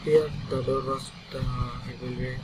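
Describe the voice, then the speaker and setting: A man giving a step-by-step software tutorial, speaking haltingly in short, repeated phrases as he thinks aloud. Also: level tone. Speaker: CAD software instructor. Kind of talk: screen-recorded software tutorial, step-by-step instruction. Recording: very noisy recording, loud background rumble.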